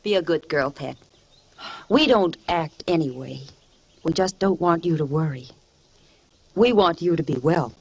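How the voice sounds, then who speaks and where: A woman's voice: low voice, expressive, measured pace, pauses often, slightly rough, exaggerated voice. An actress playing a bossy, patronizing woman who coaxes and fusses over a patient in an old radio drama. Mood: soothing, evasive. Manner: patronizing, fussy, sing-song coaxing. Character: bossy, coaxing female relative minding a patient.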